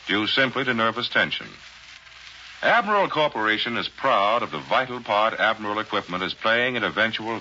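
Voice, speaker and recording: A man's voice: lowish voice, bright, forceful tone. An American man narrating a 1940s radio advertisement as a polished, dramatic announcer. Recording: dull, faintly noisy 1940s radio recording.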